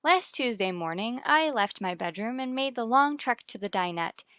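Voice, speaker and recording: Sardonic lady teacher, old radio sitcom, bright crisp wisecracks, dry studio sound. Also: fairly high pitch, animated, normal speed, no echo. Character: wry, wisecracking woman English teacher. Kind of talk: 1950s radio sitcom acting. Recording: old radio broadcast recording.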